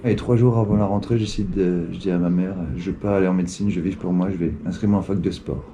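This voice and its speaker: A man's voice: low-pitched, steady tone, fast, dark tone, a little hiss or hum. A man speaking casually as a travel vlogger, chatting and narrating his day. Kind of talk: casual chat and vlog narration. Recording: music behind the voice.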